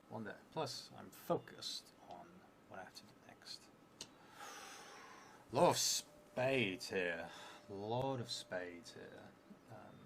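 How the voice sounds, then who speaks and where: Low-voiced man, noisy stream recording. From outside England. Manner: hesitant, muttering to himself, thinking aloud. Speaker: solo tabletop roleplay streamer. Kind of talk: live solo game narration, reading prompts aloud with casual asides. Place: indoor room in a theatre, seated at a table. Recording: clearly noisy live stream room.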